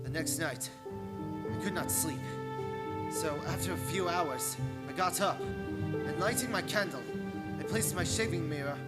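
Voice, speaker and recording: An actor playing an anxious English gentleman held captive, narrating his diary with earnest, suspenseful theatricality in a radio play. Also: on the high side, measured pace, long pauses, lightly acted. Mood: mounting dread. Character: Jonathan Harker, anxious English gentleman, dread-filled captive diarist. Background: music.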